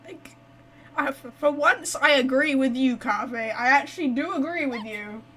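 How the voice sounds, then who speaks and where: A woman's voice: high voice, moderate pace, bright, forceful tone. A woman giving casual, playful, reactive commentary while playing a game. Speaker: game streamer.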